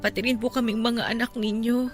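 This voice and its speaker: An actress playing a caring young-adult daughter in a radio drama, talking softly and respectfully to console her father. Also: fairly high pitch, flat delivery, fairly quick, soft background music, natural voice. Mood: gentle.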